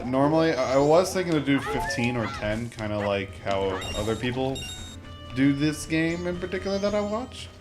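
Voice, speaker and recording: Rambling young man over game music. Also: mid-range voice. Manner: rambling, informal, mock-exasperated asides. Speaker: gamer, Let's Play host. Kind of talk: casual gameplay commentary. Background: video game music.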